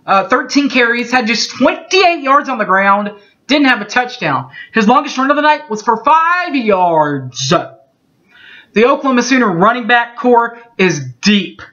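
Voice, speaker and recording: A man in his thirties reading through postgame football stats from his bedroom, steady and methodical, with small slips he corrects as he goes. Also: extremely high pitch, measured pace. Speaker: sports fan recapping a game. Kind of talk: webcam postgame stats recap. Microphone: webcam mic. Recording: dull lo-fi webcam audio.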